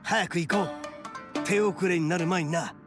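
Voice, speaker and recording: A man's voice: high-pitched, normal speed, natural voice. Brash young oni hero, played by a man, anime game; swaggering, informal, good-hearted, over game music. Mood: resolute. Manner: swaggering, rough informal speech. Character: brash, cocky, kind-hearted young male oni gang boss.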